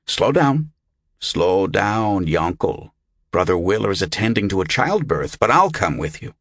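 Audiobook role: man voicing brisk, irritable monk, dry and commanding, close dry recording. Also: deep voice, sing-song, a bit bright, dry recording, full character voice. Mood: dry, calm. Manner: dry, curt. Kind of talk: audiobook character voice.

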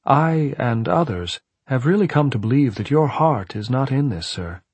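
A man's voice: mid-range voice, animated, moderate pace, darkish tone, slightly rough, no room sound, pitched above the performer's own voice. A man voicing a mild, well-bred ghostly servant in an audiobook, formal, coldly polite and insinuating. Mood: regretful, taunting. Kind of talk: audiobook character voice.

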